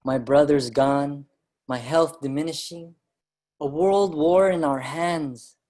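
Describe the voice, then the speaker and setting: A man's voice: fairly high pitch, slow, a little mellow. An actor playing an earnest immigrant writer, a man in a dramatic staged reading. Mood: reflective. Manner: reflective storytelling, pleading when alarmed. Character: Allos, earnest, ailing Filipino immigrant writer, younger brother. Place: online virtual staged reading.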